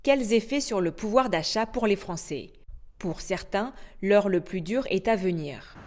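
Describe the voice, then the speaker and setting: A woman anchoring a news bulletin, reading in a steady, formal way. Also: mid-range voice, measured pace. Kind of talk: scripted news narration.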